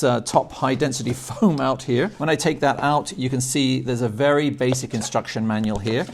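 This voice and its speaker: A man's voice: medium pitch, a little mellow. Older man chatting through a product review. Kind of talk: casual unboxing and review talk to camera. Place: indoors, garage-like room.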